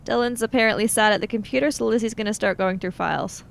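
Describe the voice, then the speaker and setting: A woman's voice: quick pace, creaky. A woman narrating and role-playing her character in a tabletop role-playing game. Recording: low rumble and background noise.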